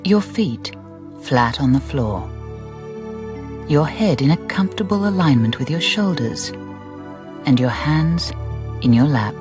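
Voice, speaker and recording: A woman narrating a guided meditation in a soft, breathy voice, soothing and deliberate, with gentle phrasing and pauses. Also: sits low, animated. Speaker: relaxation guide. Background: music.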